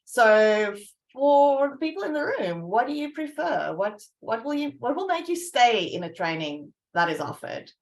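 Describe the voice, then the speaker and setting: A woman's voice: on the high side, very animated, moderate pace. Woman putting a question to the room. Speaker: training program organizer. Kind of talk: asking a question in a virtual session.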